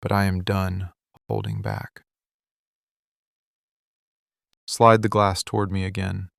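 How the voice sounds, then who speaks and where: A man playing a weary, lovesick, possessive mafia boss in a scripted boyfriend ASMR roleplay, speaking intimately and deliberately in short phrases. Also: low voice, very animated, gravelly, fairly bright tone, no room sound, clean studio sound, exaggerated voice. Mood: longing, intense.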